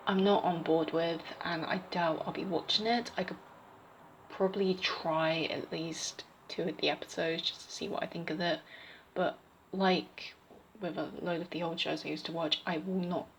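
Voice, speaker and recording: A young woman giving her opinions in a casual vlog, rambling with fillers and restarts, her voice slightly croaky. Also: fairly low pitch, slight background noise. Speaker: vlogger.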